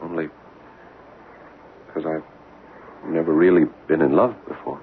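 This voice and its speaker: Stammering young American soldier, old radio. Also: male voice, rumbling low, flat delivery, a little slow. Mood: shyly smitten. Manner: hesitant, stammering. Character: young American soldier, shy, bumbling, earnest suitor. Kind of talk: old-time radio drama acting. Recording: tinny, hissy old radio broadcast.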